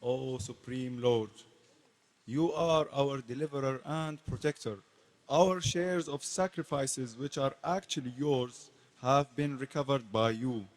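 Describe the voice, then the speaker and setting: A man playing a devout demigod praying in grateful awe in a dramatic scripture reading, solemn and deliberate with pauses between phrases. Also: medium pitch, lightly acted. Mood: devout gratitude.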